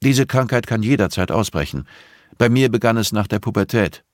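A man voicing a composed, middle-aged blind teacher in an audiobook, patient and measured, with a dryly pointed way of explaining. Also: lowish voice, animated, no room sound, studio quality, pitched above the performer's own voice, natural voice. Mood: calm, reflective. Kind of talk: audiobook character dialogue.

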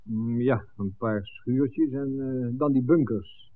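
An actor playing a practical, thoughtful local man in a 1960s radio drama, speaking in a matter-of-fact, deliberate way. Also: mid-range voice, close to an everyday voice. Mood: pondering. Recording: dry, phone-like old radio recording.

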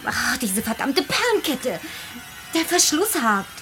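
A woman's voice: high voice, sing-song, measured pace, music in the background. An actress playing an anxious, hesitant woman who pleads and questions in fear in a science-fiction radio drama. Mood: flustered.

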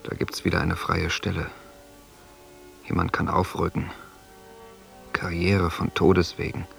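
Bitter, low-voiced, creaky son. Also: male voice, monotone, measured pace, a bit bright, soft background music, exaggerated voice. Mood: disgusted, bitter. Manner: contemptuous, brooding. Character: bitter son scorning his late father's circles. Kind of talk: radio drama interior monologue.

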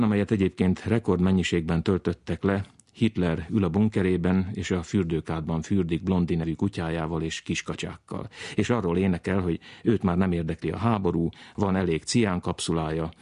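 A man's voice: deep voice, a little mellow, dry recording, clean studio sound. A man presenting a radio programme in scripted narration.

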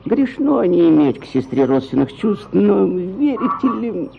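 An actor playing a bored, grumbling country landowner who complains in exclamations. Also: male voice, high voice, big pitch swings, measured pace, creaky, phone-quality sound, a little hiss or hum, full character voice. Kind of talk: radio play acting.

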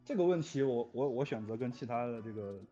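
A dull, lo-fi recording with faint music behind a man speaking. He has a middling pitch and talks in a casual, reflective interview style. His phrasing is earnest and a little hesitant, as he chooses his words. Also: expressive, a little fast, warm tone. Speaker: music composer for audio dramas.

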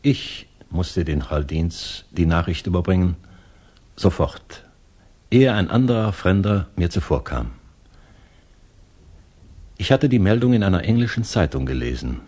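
An actor playing a reserved, pedantic English gentleman teacher in a 1960s German radio drama, subdued, formal and a little hesitant. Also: low voice, animated, unhurried, long pauses, breathy edge, heavily acted. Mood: sombre.

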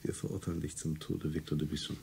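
Very deep, dark-voiced merciless male judge. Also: little pitch movement, fast, creaky. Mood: cold, solemn. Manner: accusatory, incantatory repetition. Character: grave, merciless rebel judge pronouncing a death sentence. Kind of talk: solemn radio play recitation. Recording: faint noise and ambience behind.

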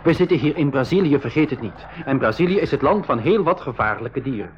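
A man acts a teasing young brother from a school radio play. He enunciates carefully in a very animated voice, with slight hiss on the recording. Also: medium pitch, fairly quick, lightly acted. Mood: matter-of-fact. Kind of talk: 1980s Dutch-language school radio drama acting. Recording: dull, noisy old radio recording.

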